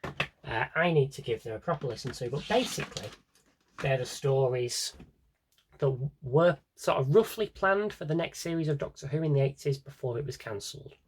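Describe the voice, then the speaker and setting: A man talks in a slightly rough, husky voice at a middling pitch. He presents like a hobbyist vlogger, casually and off the cuff. His delivery is wry and meandering, often interrupting itself as he thinks aloud. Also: normal speed. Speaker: collector showing his audio drama CDs. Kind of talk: casual rambling vlog chat.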